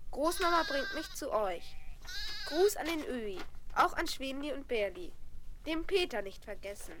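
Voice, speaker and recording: High-voiced, eager little mountain girl. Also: fairly bright tone, exaggerated voice. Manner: exclaiming, breathlessly eager. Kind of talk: 1970s children's radio play acting. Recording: noisy crackly 1970s vinyl record.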